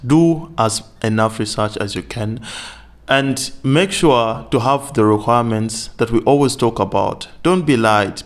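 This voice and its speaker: Man, from Africa, low voice, earnest, insistent advice vlog. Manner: earnest, insistent, repeats points for emphasis. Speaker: vlogger giving advice on teaching in Vietnam. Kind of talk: direct-to-camera advice talk.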